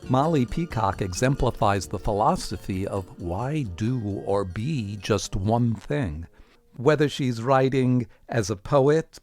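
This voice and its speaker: A man's voice: lowish voice, darkish tone, no echo, studio quality. A man presenting a scripted introduction to a poetry segment as a radio host.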